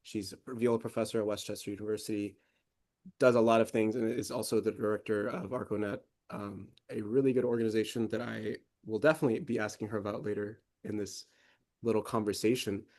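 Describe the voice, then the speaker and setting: A man's voice: lowish voice, flat delivery, a little fast, a little mellow. A young man hosting an interview podcast, enthusiastic and casual, with many ums. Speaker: podcast host.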